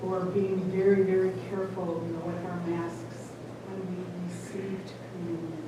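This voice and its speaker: A woman in the audience offering a thoughtful, halting comment at a parish talk. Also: on the low side, flat delivery. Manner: halting, thoughtful, many pauses. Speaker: audience member. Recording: echoey hall, distant mic, noisy.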